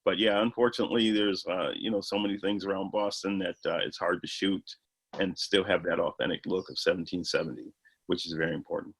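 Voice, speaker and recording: A man with a fairly low voice talks about his film project in an interview. He explains eagerly and earnestly, often stopping for "you know" and "uh". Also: steady tone, a little fast, gravelly, fairly bright tone, husky, poor audio. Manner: earnest, enthusiastic explaining with many fillers. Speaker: filmmaker guest. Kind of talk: interview answers.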